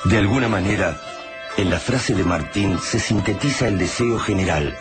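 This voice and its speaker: Narrator, played by a man: low-voiced, grave, scripted science fiction radio play, over prominent music. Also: normal speed, lightly acted. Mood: grave, measured. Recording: loud music under the voice.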